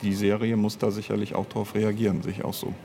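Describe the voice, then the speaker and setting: Male interview guest, lowish pitch, thoughtful explainer with hesitant phrasing, slight background noise. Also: little pitch movement, quick pace, gravelly, dark tone. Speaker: interview guest, audio drama writer and producer. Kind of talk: casual conversational interview.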